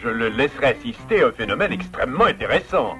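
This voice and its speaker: Animated, learned gentleman beaver. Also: mid-range voice, fast, soft background music. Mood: firm, reassuring. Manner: articulate, didactic. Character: learned, reassuring, self-assured adult male beaver. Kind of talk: French animated series dubbing.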